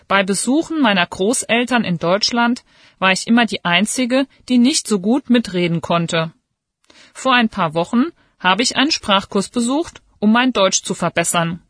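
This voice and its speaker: A young woman reading a scripted part as a student, clear and measured. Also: mid-range voice, a bit bright, no echo, clean studio sound. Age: young adult. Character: young Dutch female student, 21. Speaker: student speaking in a listening exercise. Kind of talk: scripted reading for a listening test.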